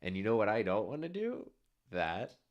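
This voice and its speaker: A man's voice: on the low side, very animated, moderate pace, dull audio. A man co-hosting a casual livestream podcast, speaking in an easygoing way and laughing often. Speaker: co-host.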